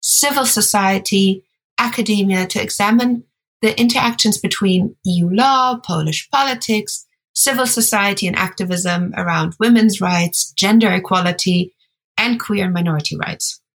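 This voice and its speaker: A woman co-hosting a podcast, speaking in a measured, explanatory way. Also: medium pitch, a bit bright. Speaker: podcast co-host. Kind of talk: scripted podcast narration.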